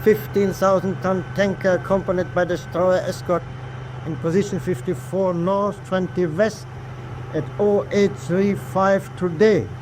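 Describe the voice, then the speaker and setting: An actor playing a stern, proud and formal German submarine captain in a wartime radio drama. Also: male voice, high voice. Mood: measured, official. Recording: clear vessel noise behind voice.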